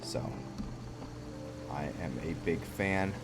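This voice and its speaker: A man's voice: low-pitched, takes it slowly. A man giving casual gameplay commentary as host of a roleplay series, thinking aloud in a rambling, musing way that often trails off. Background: game music.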